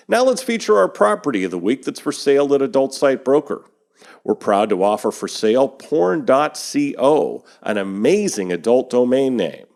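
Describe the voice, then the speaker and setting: A man hosting a podcast, reading industry news from a script and introducing his guest in a steady read-aloud manner. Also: lowish voice. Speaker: podcast host.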